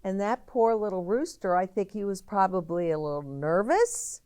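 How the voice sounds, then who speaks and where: A woman's voice: mid-range voice, expressive, moderate pace, a little mellow. A woman hosting a children's story time, reading aloud expressively and explaining things directly to young listeners.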